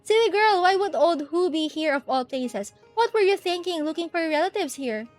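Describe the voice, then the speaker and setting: A woman's voice: very high-pitched, animated, moderate pace, voice pushed up. A woman voicing a mocking lone spirit from a story, teasing and scoffing at a lost girl. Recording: music behind the voice.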